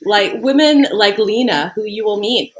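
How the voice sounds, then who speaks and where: A woman's voice: mid-range voice, normal speed, a crowd in the background. A young woman co-founder talking frankly and passionately in casual conversation, swearing now and then. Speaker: co-founder of the platform.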